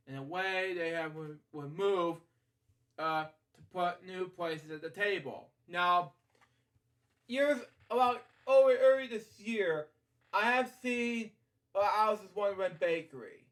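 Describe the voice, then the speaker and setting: High-voiced man reading a bedtime story, rambling. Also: fairly bright tone. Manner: rambling, halting, frequently digressing with asides. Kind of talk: picture-book read-aloud with casual off-the-cuff commentary.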